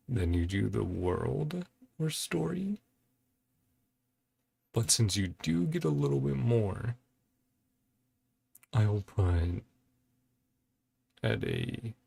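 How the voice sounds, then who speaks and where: A man's voice: mid-range voice, creaky, darkish tone, slightly rough. A man giving casual reaction commentary, thinking aloud in short, hesitant fragments over music. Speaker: host of a reaction and ranking video. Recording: music playing behind the voice. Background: game trailer music.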